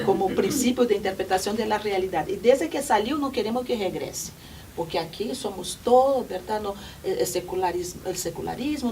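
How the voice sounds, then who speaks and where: A woman whose first language is Portuguese speaks with a fairly high voice, reflecting thoughtfully and hunting for words. She is picked up by a mic set some way off, with faint room noise around her. Her tone is that of a panel commentator. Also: darkish tone, far from the mic, low-quality recording. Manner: thoughtful, searching for words, frequent tag questions. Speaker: panel commentator at a research report presentation.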